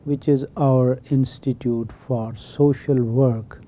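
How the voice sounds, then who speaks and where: Pakistani accent guy, middle-aged, earnest explainer, super mellow dark tone. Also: mid-range voice, steady tone, slow pace, slight background noise. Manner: earnest, explanatory, repeats key phrases. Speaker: college religion teacher and chaplain. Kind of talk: interview talk.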